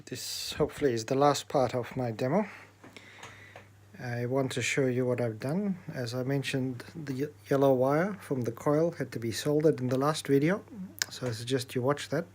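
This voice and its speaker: Male presenter, informal hands-on tech demo, explains methodically in a mid-pitched voice. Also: normal speed, slight background noise. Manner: explanatory, step by step. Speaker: presenter of an electronics repair demo. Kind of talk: informal technical demonstration.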